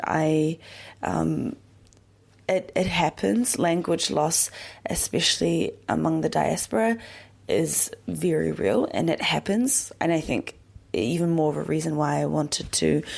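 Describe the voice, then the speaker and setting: A young woman hosting a podcast, talking in a slow, reflective personal monologue with many pauses and fillers. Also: sits low, vocal fry, darkish tone. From born in Yemen, raised in New Zealand. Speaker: podcast host.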